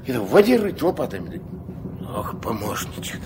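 An actor in a Soviet radio drama playing a gruff, commanding old riverboat captain who gives curt orders in folksy speech. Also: male voice, medium pitch, big pitch swings, normal speed, natural voice. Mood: grumbling, instructive. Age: older. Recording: noisy 1970s radio play recording.

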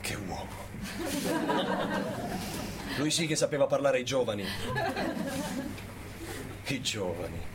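An actor playing a pompous, self-righteous conservative business owner, sententious and rhetorical, in a satirical theatre monologue. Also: male voice, medium pitch, animated, takes it slowly, long pauses, over a noisy crowd, heavy background noise. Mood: sententious, solemn.

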